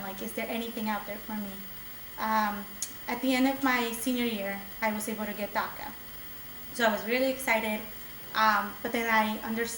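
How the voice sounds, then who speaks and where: A young woman from El Salvador gives a personal testimony to a campus meeting, earnest and conversational, stumbling into restarts. Her voice sits a little high but stays fairly level. She is picked up from across an echoey room. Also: fairly bright tone, a little hiss or hum. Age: young adult. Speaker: student speaker at a community meeting. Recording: echoey room recorded from a distance.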